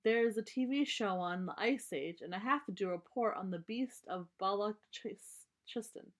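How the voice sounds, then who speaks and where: A woman's voice: medium pitch, normal speed, close to an everyday voice. A woman voicing a young boy bear cub from a storybook, wheedling and emphatic.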